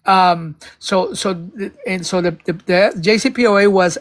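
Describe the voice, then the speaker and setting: A man's voice: high-pitched, normal speed, a bit bright. A man making a political argument on a live panel, talking in a rambling way with many stumbles and restarts, now and then emphatic. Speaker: panel speaker. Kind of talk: casual political discussion.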